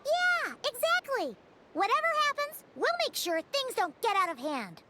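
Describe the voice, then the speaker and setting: A woman voicing a tiny, bubbly female companion in a game, with a high, squeaky, childlike voice and a chirpy, fussing way of talking. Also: wildly swooping pitch, moderate pace, a little hiss or hum, exaggerated voice. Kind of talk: game voice acting. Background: loud game music.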